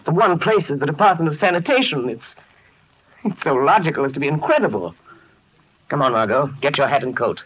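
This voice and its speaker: Muffled 1930s radio detective hero, urgent. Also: male voice, on the high side, expressive, fairly quick, husky. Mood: urgent, excited. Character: confident, urgent amateur detective, a crime-fighting man. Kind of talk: 1930s radio drama acting.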